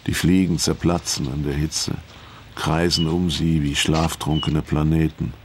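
A somber storyteller in a noir crime radio play. The voice is extremely deep and gravelly, unrolling poetic prose at a slow, measured pace. Music runs underneath. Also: male voice, background noise. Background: music behind the voice.

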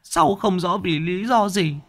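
A man voicing a timid ghost who stammers and pleads in a high, shaky voice as he answers a sorcerer. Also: lively intonation, unhurried, fairly bright tone, big-room sound, heavily acted. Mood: frightened pleading.

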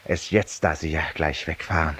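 An actor playing a feverish, weary, self-styled judge-penitent in a 1950s radio drama, confiding ironically and theatrically in a silent listener. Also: male voice, very deep voice, fairly even intonation, moderate pace, bright, forceful tone, breathy edge, exaggerated voice. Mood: triumphant, ironic. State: feverish and tired, with coughs.

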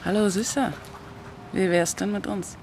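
A woman's voice: medium pitch, a little slow, close to an everyday voice. Actress as forward female streetwalker, flirty casual banter, radio play, noisy street ambience behind her. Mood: flirty, teasing. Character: brazen female street prostitute soliciting a customer. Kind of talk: radio drama dialogue.

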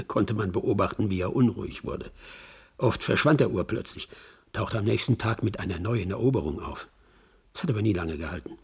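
A man's voice: lowish voice, exaggerated voice. An actor playing a worldly man who recalls an old acquaintance with dry, gossipy irony, as if interviewed in a radio drama. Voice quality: husky, gravelly. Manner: reminiscing, dryly ironic. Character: worldly male acquaintance giving wry, gossipy recollections.

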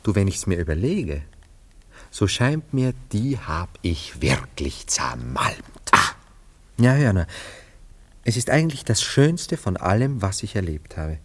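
Low-pitched male voice, nostalgic self-absorbed romantic, theatrical radio play delivery, musing and savoring words. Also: very animated, unhurried, husky, heavily acted. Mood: regretful. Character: nostalgic, self-absorbed romantic man recalling past love affairs. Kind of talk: German radio play acting.

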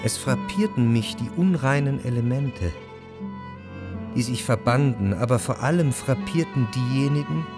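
An actor playing a reflective, refined writer narrating his memories in a measured, literary radio drama manner. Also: mid-range voice, lively intonation, close to an everyday voice. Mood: contemplative. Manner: measured, contemplative. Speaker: first-person narrator. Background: music behind the voice.

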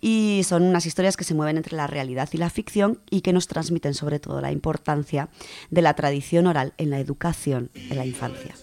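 A woman with an accent from Spain, presenting and reading children's stories on the radio in an expressive, dramatic way. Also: low voice, brisk. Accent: Spanish from Spain. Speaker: radio storytelling contributor. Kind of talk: children's book reviews and expressive story reading. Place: radio studio.

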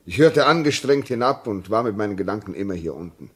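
An actor playing a brooding, dutiful railway signalman in a 1950s German radio drama, speaking gravely and haltingly. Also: on the low side, animated, fairly bright tone. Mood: reflective, resigned. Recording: muffled-edged 1950s radio drama recording.

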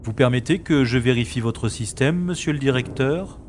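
An amateur actor playing a polite, methodical male special agent in a science-fiction audio drama, formal and measured. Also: mid-range voice, a little mellow, slight background noise, close to an everyday voice. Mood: polite, focused.

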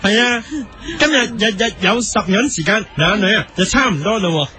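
A man's voice: high voice, lively intonation, fairly quick, pushed and bright. A man playing a silly, giggly food-show host in a radio comedy sketch, constantly repeating a pet catchphrase. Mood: cheerfully pompous. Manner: giggly, repetitive, catchphrase-laden patter.

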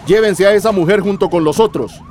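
A man's voice: high voice, a little fast, lightly acted. An actor playing a stern male police officer calling out formal commands in a radio drama. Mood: commanding. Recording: loud scene noise with police sirens.

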